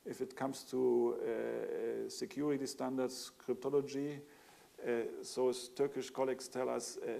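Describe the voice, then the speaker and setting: A German-accented man on a discussion panel, his speech halting and full of restarts and filler sounds. His voice is gravelly and mellow. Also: medium pitch, level tone. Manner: hesitant, many fillers and restarts.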